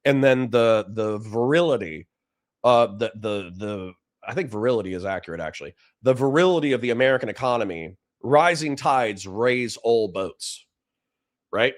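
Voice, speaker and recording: A man's voice: mid-range voice, expressive. A man with a dry voice giving blunt, profane political commentary to a livestream chat. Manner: blunt, profane, fast restarts.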